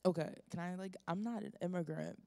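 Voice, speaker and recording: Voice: mid-range voice, fairly quick, warm tone. A student making a point in a group discussion, rambling with many fillers but emphatic. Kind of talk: casual spoken opinion.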